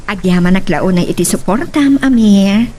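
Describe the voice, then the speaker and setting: A woman's voice: mid-range voice, normal speed, dark tone, slight background noise, close to an everyday voice. A woman playing a scheming, calculating businesswoman in an Ilocano radio drama. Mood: friendly.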